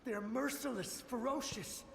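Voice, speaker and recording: A man's voice: very high-pitched, normal speed, vocal fry, a bit bright, slight background noise, full character voice. A man voicing the lingering memory of a desperate samurai soldier in a video game, urgent and pleading. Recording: roomy echo with game music.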